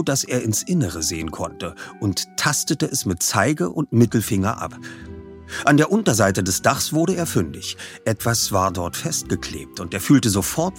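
A man reading a detective story aloud and presenting it in an expressive storytelling manner. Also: lowish voice. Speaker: audiobook reader and presenter. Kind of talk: scripted narration of a youth detective novel.

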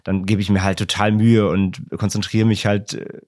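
A man co-hosting a podcast, explaining things in a relaxed, rambling way with many repeats. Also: fairly low pitch, normal speed, dry recording, clean studio sound. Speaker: podcast co-host. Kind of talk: casual podcast chat.

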